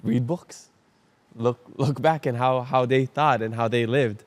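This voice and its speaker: A man's voice: medium pitch, steady tone, measured pace. A man from Hawaii hosting a podcast, talking casually and earnestly, thinking aloud with many restarts. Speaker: podcast host. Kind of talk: casual conversation.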